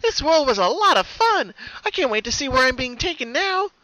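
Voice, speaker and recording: A bouncy young girl pony from a cartoon, gushing with singsong cheer and a sinister edge. The voice is squeaky high and very bright, swinging wildly. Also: sing-song, moderate pace, no echo, exaggerated voice, rough recording. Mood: gleeful menace.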